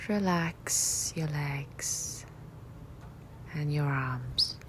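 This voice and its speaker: A low-voiced woman leads a guided meditation at a very slow pace. She speaks softly and breathily in short, gentle phrases, leaving long pauses. Faint nature ambience sits behind her voice. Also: slight background noise.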